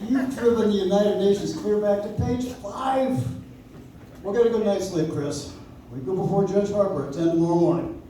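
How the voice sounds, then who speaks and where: An actor playing a shrewd, confident defense lawyer in a stage radio play, speaking with theatrical assurance. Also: male voice, high voice, moderate pace, warm tone, soft background music, lightly acted. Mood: wry, confident. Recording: echoey, distant, noisy audience-member recording.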